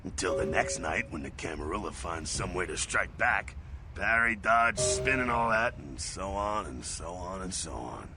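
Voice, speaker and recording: Gravelly, gruff American vampire veteran. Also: male voice, deep voice, expressive, normal speed, over music. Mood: wry, weary. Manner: salty, slangy, rambling, wry. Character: gruff, streetwise veteran male vampire, brash mentor. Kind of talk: video game character dialogue.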